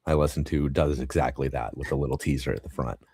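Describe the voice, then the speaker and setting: A man hosting a casual podcast interview, laid-back and agreeable, quick to laugh. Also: extremely low pitch, fairly even intonation, darkish tone, husky, no echo. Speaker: podcast host.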